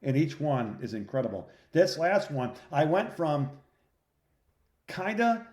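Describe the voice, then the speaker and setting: Middle-aged male podcast co-host, slightly high voice, plain-spoken casual chat, echoey phone-call recording. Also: very animated, a little slow, a little mellow.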